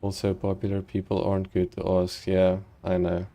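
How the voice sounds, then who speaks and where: A man from South Africa with a slightly Americanized South African accent, chatting casually and haltingly with viewers while streaming a game. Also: sits low, a little hiss or hum. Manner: relaxed, chatty, halting with many ums and restarts, chuckles.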